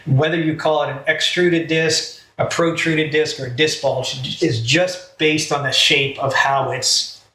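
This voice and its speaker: Bright-voiced man explaining in a roomy space. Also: fairly high pitch, steady tone, unhurried. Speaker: medical expert guest on a health podcast. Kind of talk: conversational medical explanation. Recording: roomy echo, faint background noise.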